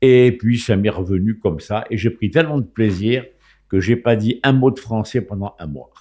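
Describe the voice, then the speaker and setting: Low-voiced hesitant man from Morocco. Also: moderate pace, warm tone. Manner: hesitant, many fillers and restarts. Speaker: guest commentator. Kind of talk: casual radio chat. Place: radio studio.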